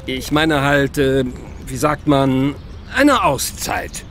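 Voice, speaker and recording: An actor playing an eccentric, evasive old groundskeeper in a children's audio drama, speaking haltingly with a creaky voice. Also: male voice, medium pitch, fairly even intonation, fairly bright tone. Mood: defensive, evasive. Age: older. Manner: halting, hesitant, slow-paced. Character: eccentric old male groundskeeper, former musician, evasive and grumbling.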